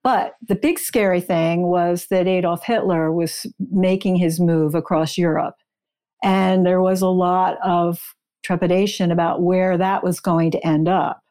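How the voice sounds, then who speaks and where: A woman's voice: on the low side, fairly even intonation, a little slow, warm tone. Informative woman explaining as guest. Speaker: interview guest, author and researcher. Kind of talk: explanatory interview conversation.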